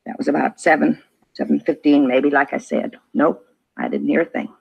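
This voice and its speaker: A woman's voice: sits low, flat delivery, normal speed, creaky, slightly rough, full character voice. An actress playing a shaken, talkative neighbor woman in an old radio crime drama, speaking in plain, folksy, clipped phrases. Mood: matter-of-fact. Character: shaken, talkative older female neighbor giving a witness statement. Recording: dull, lo-fi vintage radio recording.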